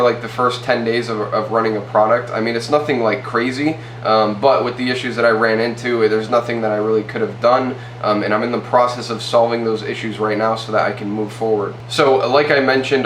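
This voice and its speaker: A young man with a blocked, stuffy nose talks straight to the camera in a quick, loose way full of fillers. His voice sits mid-range and carries the echo of a small bare room. A faint hum runs underneath. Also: mid-range voice, a bit bright. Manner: fast, loose, filler-heavy talk. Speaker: e-commerce YouTuber. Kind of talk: casual to-camera update.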